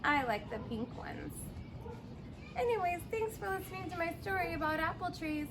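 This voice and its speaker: A woman's voice: very high voice, animated, normal speed. A woman in her thirties or forties reads a picture book aloud to young children, expressively, drawing out words and adding simple asides and questions. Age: 30s or 40s. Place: outdoors in a fenced backyard. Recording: outdoor recording with clear background noise.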